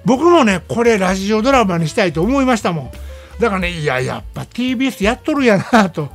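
A male radio host with a Kansai Japanese accent talks in a very animated, swooping way. He is chatty and emphatic, reviewing films casually on his own, with music playing behind him. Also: high voice, fast talker. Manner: chatty, emphatic, peppering phrases with "ne". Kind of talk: casual film review monologue.

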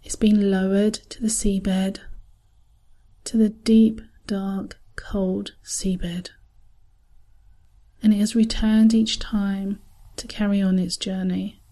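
A woman narrating a scripted guided visualisation in a calm, soothing, soft voice with breathy edges and long pauses. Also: mid-range voice.

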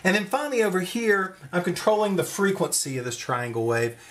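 A man's voice: high voice, sing-song, fairly quick, a bit bright. A man giving an informal hands-on electronics demonstration, explaining as he goes and thinking aloud. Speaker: electronics tutorial presenter. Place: at a desk indoors.

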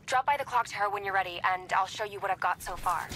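A woman's voice: mid-range voice, quick pace, a bit bright, close to an everyday voice. A woman playing an efficient young intelligence operator in a video game, speaking in a businesslike way.

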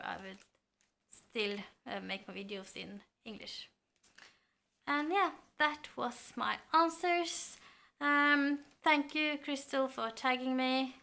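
Norwegian woman in her thirties, book vlogger, talks slowly and thoughtfully to a close camera. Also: on the high side, bright, forceful tone, smooth. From Norway. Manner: thoughtful, hesitant with fillers. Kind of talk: casual chat to camera. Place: home room lined with bookshelves. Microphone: camera at close range.

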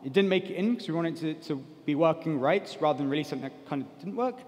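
A man's voice: on the high side, expressive, fast, darkish tone. A man in his thirties giving an informal technical talk on a conference stage, explaining things in a casual, loose way. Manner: casual, explanatory, peppered with "like" and "kind of". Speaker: conference speaker. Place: large conference hall stage. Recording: big reverberant hall PA sound.

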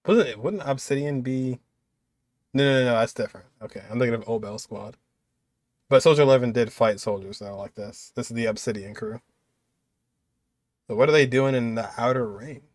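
A man in his twenties talks through a video as he watches it. His voice sits at a middle pitch, and his delivery is casual, off-the-cuff commentary from a reaction host. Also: a little fast, pauses often, no echo, clean studio sound.

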